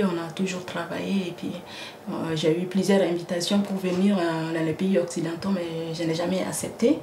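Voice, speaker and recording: Hesitant low-voiced woman from Mali. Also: dark tone. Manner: hesitant, many pauses and fillers. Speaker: interviewee, project founder. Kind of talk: personal testimony. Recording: roomy with faint noise.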